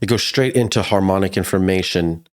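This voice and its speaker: A man's voice: sits low, brisk. A man giving a casual, slangy music production tutorial in a laid-back but enthusiastic way.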